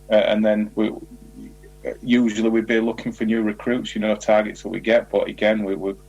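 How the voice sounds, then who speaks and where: A man's voice: fairly low pitch, vocal fry, a little mellow, slightly rough, muffled recording. A man talking as a football manager in an informal interview, repeating words as he explains.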